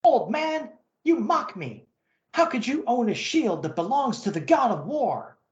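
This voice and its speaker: Man acting out high-pitched proud young Viking warrior. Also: big pitch swings, measured pace, echoey room, muffled recording, full character voice. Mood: indignant. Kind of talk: dramatic comic-book story reading.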